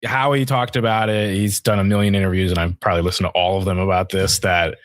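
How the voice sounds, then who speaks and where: Low-voiced man talking sports casually. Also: little pitch movement, fast, no echo, clean studio sound. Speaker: sports podcast co-host.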